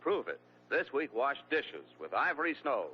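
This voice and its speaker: A man's voice: mid-range voice, sing-song, normal speed. A man reading a soap commercial in a persuasive 1940s radio announcer style. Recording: dull lo-fi old radio recording with hum.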